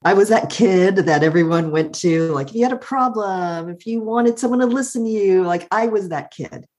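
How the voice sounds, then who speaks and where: A middle-aged woman with a calm, soothing voice, thoughtfully telling her life story as an interview guest and laughing often. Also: mid-range voice, normal speed. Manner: warm, thoughtful, laughs often, uses "like" a lot. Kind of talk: reflective personal storytelling.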